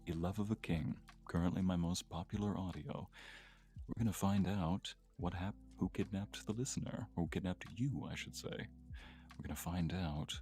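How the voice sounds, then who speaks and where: A man's voice: low voice, moderate pace, dark tone, faint music behind. A man chatting casually as a guest voice actor on a livestream.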